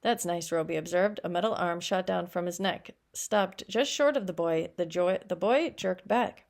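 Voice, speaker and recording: A woman with a creaky, gravelly edge to her voice reads fiction aloud in the way of a teacher. She corrects her own slips and steps out of the narration to explain. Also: fairly low pitch, normal speed. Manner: stumbles over words and corrects herself. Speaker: teacher reading a short story aloud.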